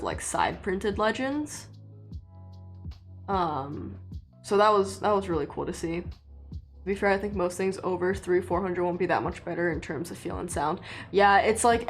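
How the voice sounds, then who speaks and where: A young woman from China, a native Mandarin speaker, chatting casually with viewers on a livestream in a relaxed, rambling way full of fillers. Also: on the low side. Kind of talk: casual live-stream chat with viewers.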